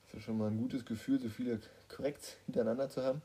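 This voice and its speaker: Guy co-hosting a guessing game, casual teasing chat, mellow kinda husky voice. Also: medium pitch, steady tone, fast. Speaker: co-host playing a guessing game. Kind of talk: casual banter during a who-am-I guessing game.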